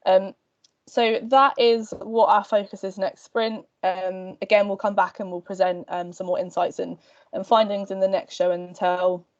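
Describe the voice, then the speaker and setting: A woman's voice: medium pitch, normal speed. A woman presenting her team's next steps and taking questions on a video call, speaking casually with many ums. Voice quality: relaxed, filler-heavy delivery with many ums. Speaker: project team member hosting a video-call show and tell. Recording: dull lo-fi video call audio.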